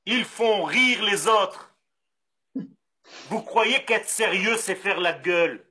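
A man from Israel with an Israeli accent, a Hebrew native speaker giving a Torah lesson in French, animated and full of rhetorical questions. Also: sits high, takes it slowly, husky. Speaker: rabbi.

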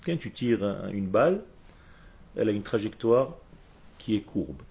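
A man's voice: on the low side, expressive, measured pace, gravelly, a little mellow, husky. A man from Israel, a Hebrew speaker, giving a religious lecture in an emphatic, didactic manner full of rhetorical questions. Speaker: religious teacher.

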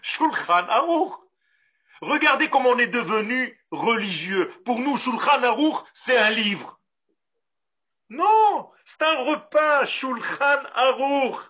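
A man from Israel with an Israeli accent, a Hebrew native speaker giving a Torah lesson in French, animated and full of rhetorical questions. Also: very high voice, slow, breathy edge. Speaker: rabbi.

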